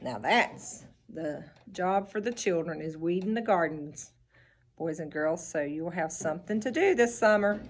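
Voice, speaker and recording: Female storybook reader for children: instructive, expressive, slow pace, lively pitch swings. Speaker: teacher reading aloud to children. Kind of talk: storybook read-aloud with asides to children.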